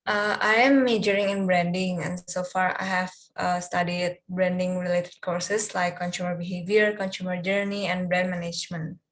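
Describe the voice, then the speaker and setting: A woman of twenty, answering questions in a mock English speaking test in measured sentences with occasional fillers. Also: lowish voice, level tone. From Indonesia. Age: 20s. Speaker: test candidate, peer examiner.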